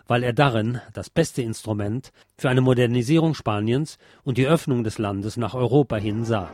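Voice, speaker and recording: A man narrates a written history text in the role of podcast host and reader. His voice sits at an average male pitch. The recording is dry, with very little room sound around the voice. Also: a little mellow, no echo. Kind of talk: scripted narration, reading a history book aloud.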